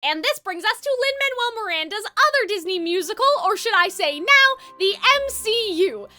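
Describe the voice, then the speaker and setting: A woman's voice: extremely high pitch, animated, normal speed, pushed and bright. A woman giving opinionated, conversational video essay commentary as the host. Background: background music.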